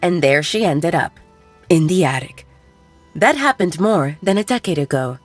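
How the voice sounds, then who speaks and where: Lively low-voiced woman narrating a story. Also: normal speed, soft background music. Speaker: audiobook narrator.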